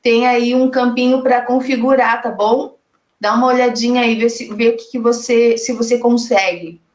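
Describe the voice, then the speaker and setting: A woman from Brazil hosting a live web panel, chatting easily and reading audience comments aloud. Her voice sits a bit high. The call audio is roomy and echoey. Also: fairly even intonation, moderate pace, muffled recording. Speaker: panel moderator. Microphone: video call.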